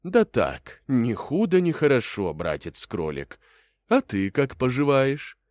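A man's voice: medium pitch, sing-song, slow, a little mellow, no room sound, voice pushed up. A man voicing a trusting, slightly grumbling mother cow in a fairy tale, with plain, homely replies. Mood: placid. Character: slow good-natured mother cow, trusting, grumbling a little.

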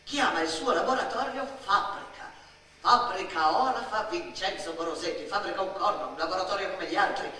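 A middle-aged woman delivering a theatrical storytelling monologue, expressive and emphatic, with dramatic pauses. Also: mid-range voice. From Italy. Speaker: stage actress and storyteller. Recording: very echoey, distant mic, faint noise.

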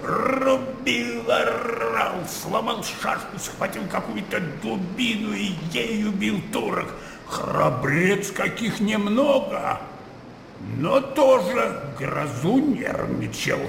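Blustering old colonel, creaky and high-pitched. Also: male voice, expressive, slow pace, heavily acted. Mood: hearty, boastful. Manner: blustering, exclamatory storytelling. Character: boisterous old colonel, garrulous war-story raconteur. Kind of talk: radio drama acting. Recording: roomy reverberant sound, audible background noise.

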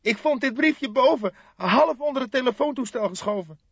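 Man putting on a frantic, very high-pitched woman. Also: lively intonation, moderate pace, fairly bright tone, slightly rough, dry recording, muffled recording, heavily acted. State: out of breath. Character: frantic breathless woman bringing urgent news.

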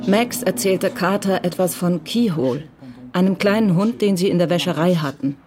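A woman narrates a crime radio drama in a calm, measured style. Her voice sits a little low, and music plays under the studio recording.

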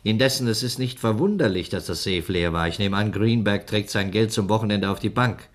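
A man playing a weary, stern police inspector in a 1960s radio drama, speaking in a measured, admonishing way. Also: lowish voice, close to an everyday voice.

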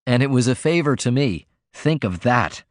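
A man voicing a weary, wry man in a storybook reading, speaking deliberately with quiet irony and contempt. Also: medium pitch, lively intonation, no echo, clean studio sound, close to an everyday voice. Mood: ironic. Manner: soft-spoken, deliberate, ironic.

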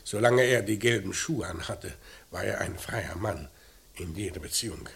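An actor playing a dry, quietly authoritative police inspector in a radio crime drama, asking terse questions. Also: male voice, deep voice, moderate pace, creaky. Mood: quietly probing. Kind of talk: German radio play acting. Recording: roomy sound with faint noise.

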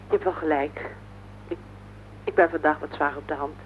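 A woman's voice: sits low, fairly even intonation, normal speed, lightly acted. An actress playing a worried, insistent wife in her forties in a radio play, speaking earnestly and plaintively. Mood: worried, resigned. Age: 40s. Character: worried, insistent wife of forty-one, earnest and heavy-hearted. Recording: noisy, band-limited old radio play recording.

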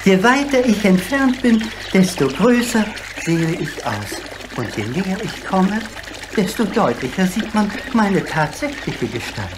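A kindly old giant for a radio play. He is courteous and earnest, explaining with theatrical, widely swinging intonation. Clear noise runs through the recording. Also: high voice, a little slow. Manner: polite, explanatory, theatrically expressive. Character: gentle, lonely old male apparent giant, courteous and helpful.

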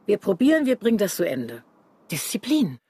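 A man's voice: sits high, huge pitch swings, moderate pace, darkish tone, husky, no room sound, clean studio sound, heavily acted. A man playing a stern, driven theatre boss in a radio drama, giving resolute orders. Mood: resolute, urgent. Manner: commanding, resolute. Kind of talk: emphatic radio drama dialogue.

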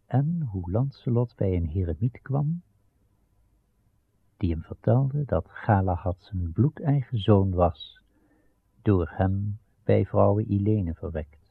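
Slow, gravelly male narrator. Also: on the low side, expressive. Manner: measured, grave. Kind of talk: solemn scripted storytelling in radio drama. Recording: tinny, band-limited old radio recording.

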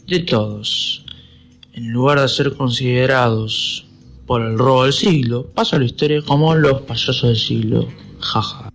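A teenage boy with an Argentine accent reading scripted narration for a radio sketch. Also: mid-range voice.

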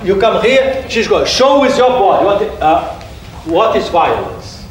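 Echoey, high-voiced workshop leader, male. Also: sing-song. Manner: halting, directive non-native English. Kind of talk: workshop instruction. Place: large echoey room, far from mic. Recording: distant, very echoey, slight background noise.

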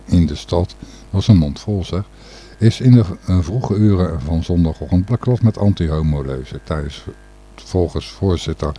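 Low husky guy doing a radio show, rambly and hesitant, kinda opinionated. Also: dark tone. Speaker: radio and podcast host. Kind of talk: informal radio commentary on news.

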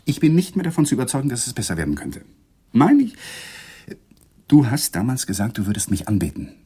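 An actor playing a bitter, weary husband who wants a divorce, with a cold, contemptuous manner, in a German radio play. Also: male voice, mid-range voice, huge pitch swings, fairly quick, mellow, slightly rough. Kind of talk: 1980s German radio drama acting.

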